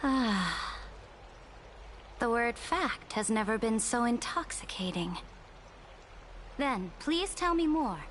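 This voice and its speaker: A woman voicing a regal, calculating female emperor in a video game, with an imperious, theatrical and deliberate delivery. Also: a little high, animated, a bit bright, a little hiss or hum. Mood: intrigued. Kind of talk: dramatic video game voice acting.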